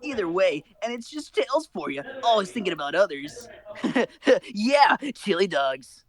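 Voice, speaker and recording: A man's voice: high voice, sing-song, measured pace. A man voicing a brash, hammy cartoon hedgehog who swears and plugs sponsors like a smart aleck. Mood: cheerful, goofy. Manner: hammy, sweary, breaks into laughter.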